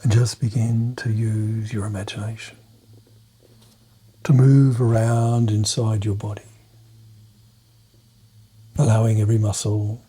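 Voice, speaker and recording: A man leading a guided meditation in a soft, breathy, half-whispered voice, calm and soothing, pausing between short phrases. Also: lowish voice. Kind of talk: guided meditation, soft-spoken hypnotic suggestion.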